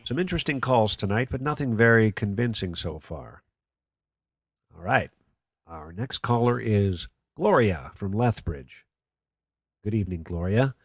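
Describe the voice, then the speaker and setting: Low male voice, skeptical radio host, sardonic, measured, dry studio sound, radio drama. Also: lively intonation, dry recording. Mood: smooth, in control. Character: sardonic, skeptical late-night conspiracy radio call-in host. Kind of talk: radio play acting as talk radio.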